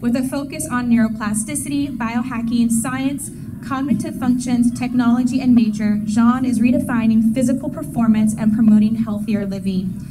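A woman's voice: a little high, moderate pace. A middle-aged woman hosting a conference reads a scripted, promotional speaker introduction into a stage microphone. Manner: promotional, announcer-like. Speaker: event host introducing a speaker. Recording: very noisy event stage recording. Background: loud noise and ambience.

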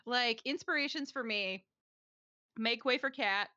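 A woman co-hosting a casual podcast chat, talking fast with lots of fillers and frequent laughs. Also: fairly high pitch, pushed and bright, no echo, lo-fi sound. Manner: chatty, laughs often, many fillers. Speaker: podcast co-host, streamer.

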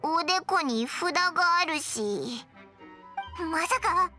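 A woman voicing a tiny, childlike flying companion in an anime game, cheeky, excitable and brash. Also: very high-pitched, takes it slowly, heavily acted. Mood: baffled. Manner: brash, rough boyish speech, exclamatory. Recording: game music behind the voice.

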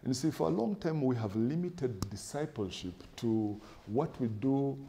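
A middle-aged man, a pastor, answering in a studio interview with a measured, emphatic preaching style, building points in lists and quoting scripture, on a lapel mic. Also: medium pitch, lively intonation, dark tone. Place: TV studio set with sofas.

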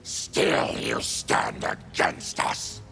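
An actor playing a proud, indignant monstrous mutant warrior in a fighting game, with a guttural growl. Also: male voice, extremely slow, sharp tone, soft background music. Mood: bitter, indignant.

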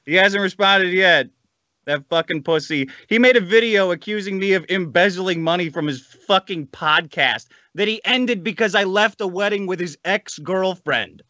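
A man's voice: high-pitched, moderate pace, bright, forceful tone, dry recording, muffled recording. A man ranting casually as a podcast guest, profane and sardonic, over a remote call.

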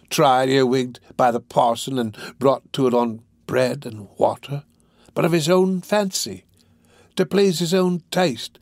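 A man voicing a sly, sinister old gang boss in a Victorian storybook, drawing out words with slow, insinuating emphasis. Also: mid-range voice, no echo, close to an everyday voice. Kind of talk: dramatised audiobook of a Victorian novel.

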